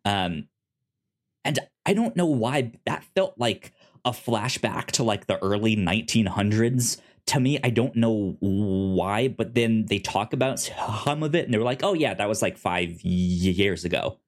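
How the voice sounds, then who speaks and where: A podcast co-host with a mid-range voice, chatting casually in a review discussion. The delivery is halting, with word starts often repeated or stuttered before the sentence moves on. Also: medium pitch, lively intonation.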